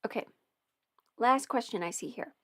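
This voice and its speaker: A woman's voice: fairly high pitch, normal speed, no room sound. Young woman rambling thru a livestream q&a, lots of um and like, dead dry close mic. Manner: chatty and meandering, many fillers and restarts. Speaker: audio performer and channel host. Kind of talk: casual livestream Q&A.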